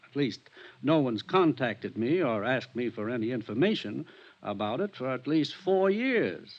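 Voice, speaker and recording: An actor playing a polite, uneasy, well-to-do man in a 1950s radio drama, measured and guarded. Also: mid-range voice, very animated. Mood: guarded, curious. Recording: dull vintage radio recording.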